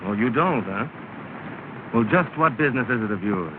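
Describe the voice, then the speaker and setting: A man's voice: mid-range voice, lively intonation, normal speed, natural voice. An actor playing a proud, stubborn young suitor, earnest with her and curt with rivals, in 1930 film acting. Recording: scratchy, tinny 1930 film soundtrack with hiss.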